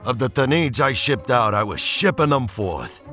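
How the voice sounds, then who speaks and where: A man narrates a rhyming children's storybook with lively, expressive intonation. His tone is bright and clear-cut, and music plays softly behind him. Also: mid-range voice, moderate pace. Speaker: storybook reader.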